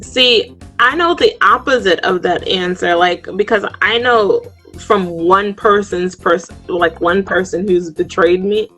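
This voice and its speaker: A woman in her thirties on a group video call, speaking in a hesitant, halting way. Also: mid-range voice, fairly bright tone, faint music behind, poor audio. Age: 30s. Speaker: guest.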